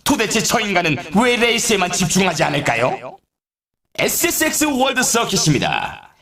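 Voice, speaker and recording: A man playing an excitable, showy snowboard race announcer in a video game, delivering scripted sports commentary in a dramatic style. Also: high-pitched, moderate pace, vocal fry, crowd noise, full character voice. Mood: grand, confident. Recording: roomy echo, slightly distant mic.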